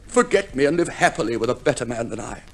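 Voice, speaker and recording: An actor playing a theatrically noble, secretly scheming husband in an old radio comedy play, declaiming melodramatically. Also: male voice, mid-range voice, lively intonation, fast, vocal fry. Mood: mock-noble, mournful. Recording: old radio broadcast, faint noise.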